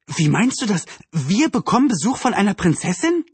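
Storybook young girl, played by a male narrator: enthusiastic, earnest little sister with a raised pitch. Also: expressive, a little fast, husky, no echo. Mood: excited. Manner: enthusiastic, earnest, indignant when doubted.

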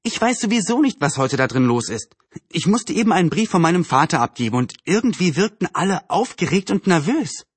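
A man's voice: sits high, very animated, fairly quick, breathy edge, no room sound, voice pushed up, heavily acted. A man voicing a cheerful, chatty young girl with a teasing, eager manner in a storybook reading. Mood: polite, cheerful.

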